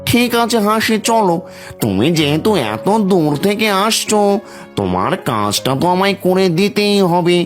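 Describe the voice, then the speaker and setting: A man's voice: sits high, very animated, normal speed. Sly greedy fox with swooping intonation by a man. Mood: falsely kind. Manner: wheedling, crafty. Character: sly, greedy fox who feigns kindness. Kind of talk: children's fairy-tale cartoon acting. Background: music.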